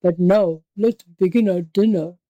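A woman's voice: on the low side, unhurried, no echo, muffled recording, close to an everyday voice. A woman voicing a savage, scornful male tiger from a storybook, growling with haughty impatience. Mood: menacing. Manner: haughty, forceful, exasperated.

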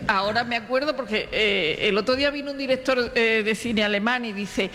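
Woman, Castilian Spanish accent, bright forceful voice, anecdotal chat, reverberant hall. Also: fairly high pitch. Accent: Spanish from Spain. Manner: halting, repeats words, storytelling. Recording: reverberant hall with faint noise.

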